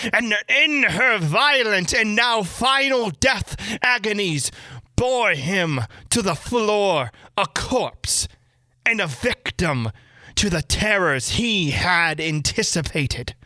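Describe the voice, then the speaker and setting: Female audiobook narrator for classic horror: dramatic, deliberate, sharp tone, very dry sound, mid-range pitch. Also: dry recording. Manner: theatrical, deliberate phrasing. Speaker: storyteller reading a classic horror tale. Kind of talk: dramatic scripted gothic narration.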